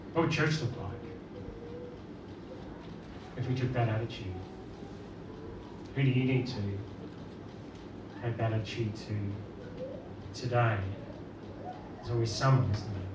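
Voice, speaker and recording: A middle-aged man from Northern Ireland with a Northern Irish accent, preaching a sermon and asking the congregation rhetorical questions. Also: mid-range voice. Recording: roomy, distant mic with background noise.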